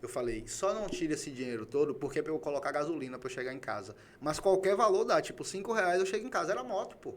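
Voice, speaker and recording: A man's voice: on the high side, animated, quick pace, few pauses, a little hiss or hum. A man from Salvador with a Brazilian Bahian accent, hosting a casual conversation in an emphatic, colloquial way and acting out quoted dialogue. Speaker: podcast host.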